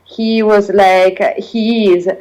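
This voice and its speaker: A woman with an Italian accent, telling her own story as an interview guest, pausing often to search for English words. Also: mid-range voice, flat delivery, darkish tone, rough recording. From Italy. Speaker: guest sharing personal experience. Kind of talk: earnest personal account in interview.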